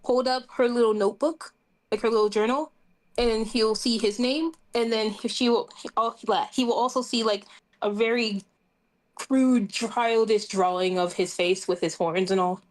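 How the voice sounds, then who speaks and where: A woman's voice: on the high side, steady tone, moderate pace, rough recording. A woman chatting casually and playfully as a player in an online tabletop roleplaying game.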